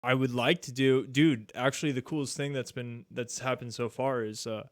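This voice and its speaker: A man's voice: medium pitch, fairly even intonation, moderate pace, no room sound, clean studio sound. A man in his thirties hosting a podcast, chatting casually in a laid-back, rambling way that is full of "like" and "dude". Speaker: podcast host. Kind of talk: casual chat.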